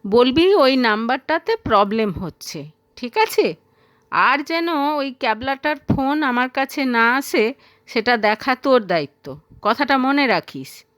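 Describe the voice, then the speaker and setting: A woman's voice: high-pitched, sing-song, unhurried, fairly bright tone, dry recording, studio quality, heavily acted. A woman voicing a bossy, irritable, self-centred young woman who snaps out orders in an audio story. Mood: angry, commanding. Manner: snapping, ordering.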